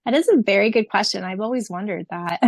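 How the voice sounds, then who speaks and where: Gravelly-voiced enthusiastic woman podcaster. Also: mid-range voice, expressive, normal speed, darkish tone, no room sound, studio quality. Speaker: podcast co-host and audiobook narrator. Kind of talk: casual chat and reading answers aloud.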